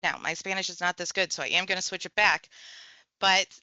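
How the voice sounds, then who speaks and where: Bright-voiced woman giving a software tutorial. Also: lowish voice, fairly even intonation, fairly quick, dry recording. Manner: conversational, walks through clicks step by step. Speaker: tech trainer. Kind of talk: screen-share software tutorial.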